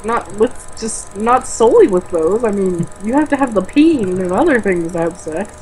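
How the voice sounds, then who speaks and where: A woman's voice: medium pitch, animated, normal speed, a little mellow, a little hiss or hum, low-quality recording. A woman reading a book aloud as a co-host, mocking it with sarcastic, exasperated comments.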